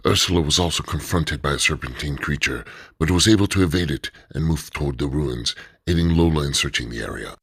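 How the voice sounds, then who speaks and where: Male narrator for radio drama, extremely deep, husky, steady delivery, dry close mic. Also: fairly quick, few pauses, dry recording. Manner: steady, low storytelling delivery.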